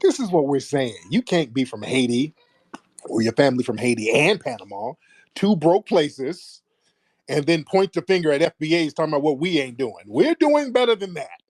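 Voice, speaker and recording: A brisk, commanding man runs a call-in livestream and keeps firm order over his callers. His voice sits a little high for a man. The sound is dry and close, with no room around it. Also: big pitch swings, a little mellow, no echo. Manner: brisk, commanding, calls callers ma'am and dear. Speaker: livestream host taking callers.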